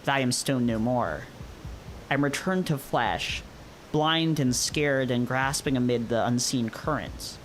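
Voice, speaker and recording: A man playing a brooding, dazed visual novel narrator in a solemn, measured dramatic reading, with some background noise. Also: mid-range voice, fairly bright tone, natural voice, low-quality recording. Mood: solemn, grave. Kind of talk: dramatic literary read-aloud narration.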